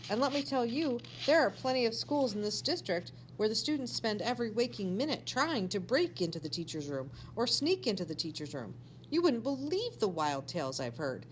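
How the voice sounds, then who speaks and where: A woman's voice: fairly high pitch, sing-song, moderate pace, slight background noise, voice pushed up, low-quality recording. A woman voicing an authoritative, opinionated female school district supervisor in a storybook, speaking emphatically. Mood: approving, emphatic.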